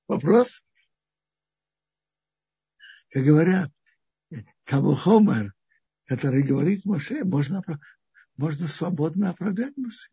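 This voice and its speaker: A man teaching the weekly Torah portion in a halting, thinking-aloud way, often restarting his phrases. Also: a little high, vocal fry, warm tone, husky, dull audio.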